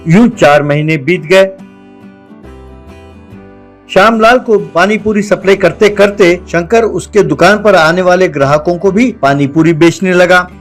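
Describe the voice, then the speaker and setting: A man's voice: sits high, unhurried, soft background music. A man telling a cartoon story as a scripted narration.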